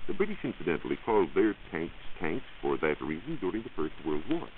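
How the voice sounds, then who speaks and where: American guy explaining science on 1940s radio, deep voice that moves around a lot, didactic. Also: big pitch swings, fast, narrow, tinny audio. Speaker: science reporter. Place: radio studio. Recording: hissy band-limited 1940s radio recording.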